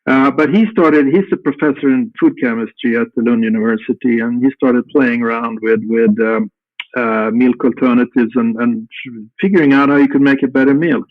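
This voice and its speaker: A middle-aged man from Sweden with a Swedish accent, speaking English as a guest in an unhurried, halting way with many restarts. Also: mid-range voice, steady tone, no echo. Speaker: guest, company founder. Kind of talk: casual interview answers. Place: home room at night. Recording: tinny phone-like call line.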